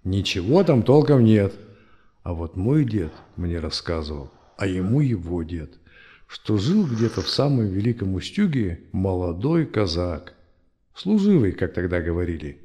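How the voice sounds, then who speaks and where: A man's voice: low voice, big pitch swings, unhurried, mellow. A man playing an old grumbling grandfather in an audio drama, telling stories in a folksy, colloquial way. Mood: storytelling. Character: old grumbling grandfather, fond storyteller of old history.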